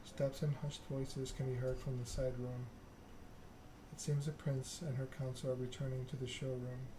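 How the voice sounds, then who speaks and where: Male ASMR game streamer, flat and soothing read, clear background noise. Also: mid-range voice, moderate pace. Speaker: streamer reading a narrative game aloud. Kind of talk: ASMR narration of game text.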